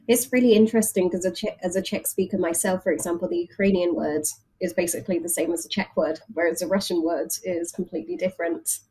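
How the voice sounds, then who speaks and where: Slightly husky woman interviewer. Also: lowish voice, steady tone, quick pace, few pauses, warm tone, low-quality recording. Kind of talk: casual interview chat.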